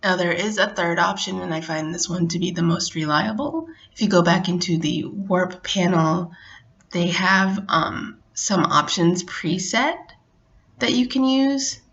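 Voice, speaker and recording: A middle-aged woman narrating a casual how-to as a craft tutorial host, explaining step by step in a relaxed way. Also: on the low side, unhurried, muffled recording.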